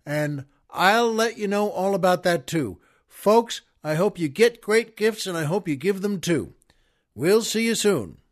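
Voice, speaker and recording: A man's voice: sits high, lively intonation, a little slow. An American man hosting his show in a rambling, folksy, wry, informal way. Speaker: show host. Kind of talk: rambling, informal podcast introduction.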